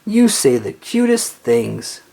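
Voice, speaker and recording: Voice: mid-range voice, sing-song, slow pace, vocal fry, mellow, heavily acted. A storybook reader playing a sweet, smitten, curious little girl with an eager, swooning manner.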